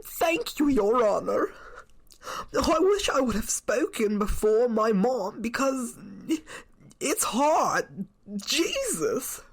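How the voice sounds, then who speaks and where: Woman acting as a tearful, pleading male defendant, high-pitched. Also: very animated, slightly rough, heavily acted. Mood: hesitant, upset. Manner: halting, repeating, whiny. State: crying, voice breaking. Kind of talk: amateur readers theater court transcript reenactment.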